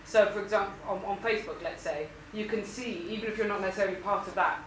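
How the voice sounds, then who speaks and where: Quick-talking woman asking from the floor. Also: mid-range voice. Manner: apologetic, tentative, says she has a loud voice. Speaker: audience member asking a question. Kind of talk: question from the floor. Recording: echoey hall, distant mic, noisy.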